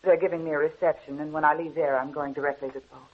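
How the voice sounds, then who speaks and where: An actress playing a proud, ambitious young actress in a 1940s radio drama, speaking with poise and quiet resolve. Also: female voice, sits low, steady tone, quick pace, breathy edge. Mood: earnest. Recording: muffled old radio broadcast.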